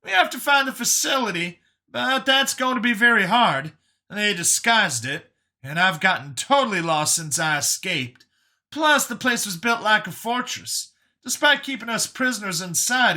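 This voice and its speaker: Audio story role: rueful escaped prisoner played by a man, high-pitched, emphatic, earnest, sharply forceful. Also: expressive, normal speed, full character voice. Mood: grave, discouraged.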